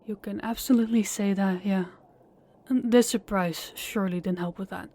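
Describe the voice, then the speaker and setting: A woman's voice: medium pitch, steady tone, moderate pace, mellow, lightly acted. A woman voicing a nervous, polite young woman who stammers a little in an audio roleplay. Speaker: voice actor, channel host.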